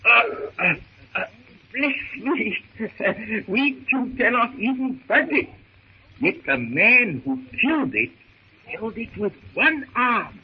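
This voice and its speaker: An actor playing a fussy, bumbling old professor in a 1930s radio serial, stammering through pompous, formal phrases. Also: male voice, high voice, big pitch swings, slow, full character voice. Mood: flustered, dithering. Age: older. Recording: muffled, hissy 1930s radio recording.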